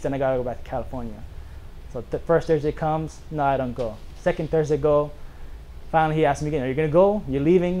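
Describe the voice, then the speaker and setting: A man in his thirties from Hawaii, telling a casual, earnest personal story to a small audience in a bookstore, animated and full of "you know" fillers. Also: on the high side, poor audio. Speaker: author giving a talk.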